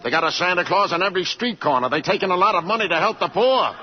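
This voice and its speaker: A man's voice: high voice, fast, crowd noise, natural voice. A man playing a blunt, wry, needling political adviser in a 1940s radio drama. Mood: sardonic. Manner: sardonic, needling. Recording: dull 1940s radio with audience.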